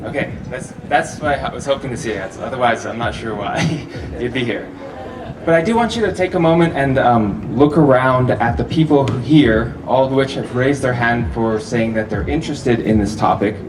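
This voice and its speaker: Man, middle pitch, easygoing festival speaker who quizzes the crowd, far from the mic in a noisy, echoey room. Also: moderate pace. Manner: relaxed, chatty, laughs often, asks the audience questions. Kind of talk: live public talk to an audience. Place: festival venue with a live audience. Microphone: handheld or stand microphone, often held far off. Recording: roomy echo, distant mic, audible hall noise.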